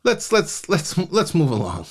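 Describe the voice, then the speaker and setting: A man's voice: fairly high pitch, huge pitch swings, unhurried. Exasperated male live-stream host. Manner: mocking, exasperated. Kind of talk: live commentary.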